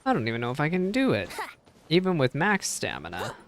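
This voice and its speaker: A man's voice: a little high, wildly swooping pitch, brisk. A man giving casual live gameplay commentary as a streamer, self-deprecating and thinking aloud. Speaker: game streamer. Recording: stream with faint background noise.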